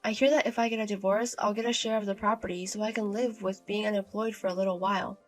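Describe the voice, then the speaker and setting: Woman doing a meek, soft-spoken young wife. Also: mid-range voice, flat delivery, fast, faint music behind, natural voice. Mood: quietly resolved.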